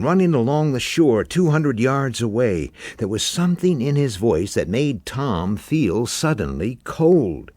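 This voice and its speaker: A male story reader with a fairly low voice that moves expressively up and down. He narrates literary fiction slowly and deliberately, in a close, dry recording. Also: darkish tone, no room sound. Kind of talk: dramatic literary audiobook narration.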